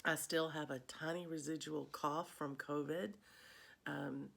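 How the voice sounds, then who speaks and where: Lady vlogger just chatting away, lowish froggy voice, kinda stuffed up, rambles a bit. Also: steady tone, unhurried, vocal fry, a bit bright. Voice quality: slightly congested, froggy. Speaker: vlog host. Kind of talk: casual chat to viewers about cross stitch.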